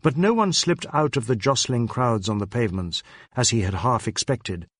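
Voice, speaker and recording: A man reading a crime novel as scripted dramatic narration, in a measured, literary storytelling manner. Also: mid-range voice, lively intonation, fairly bright tone, slightly rough, dry recording, clean studio sound. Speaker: audiobook narrator.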